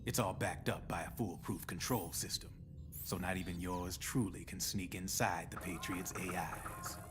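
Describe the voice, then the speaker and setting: Man acting a low-voiced cynical gun launderer. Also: steady tone, moderate pace, lightly acted. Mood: explanatory. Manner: folksy, slangy, knowing. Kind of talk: video game cutscene exposition. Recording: noisy game audio captured on stream.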